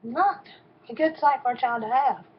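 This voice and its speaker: Soft-voiced woman, halting and plain. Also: fairly high pitch, slight background noise. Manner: halting, with frequent pauses and ums. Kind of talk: personal testimony. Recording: dull, lo-fi recording.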